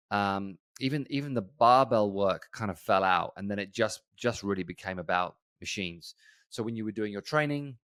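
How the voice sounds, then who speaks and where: A man in his forties hosting his own fitness podcast alone, talking casually to his listeners with many pauses and restarts. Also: on the low side, clear, resonant tone, no room sound, studio quality. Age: 40s. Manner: rambling, many ums and restarts, conversational. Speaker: gym owner and podcast host. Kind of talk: casual solo podcast talk.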